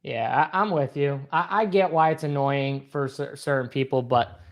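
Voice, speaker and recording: A man's voice: mid-range voice, normal speed. A man hosting a sports betting show, joking loosely and swearing casually.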